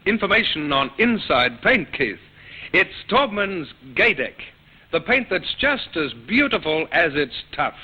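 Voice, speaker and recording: A man's voice: on the high side, sing-song, unhurried, bright, forceful tone. A man reading a scripted commercial as an announcer on old-time radio. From Australia. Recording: dull, husky-sounding old radio recording.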